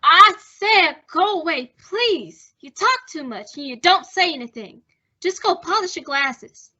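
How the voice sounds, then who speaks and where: A woman playing a brisk, no-nonsense young cigarette girl from a nightclub in an old-time radio detective drama. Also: extremely high pitch, animated, sharp tone, lo-fi sound, full character voice. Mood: annoyed, firm.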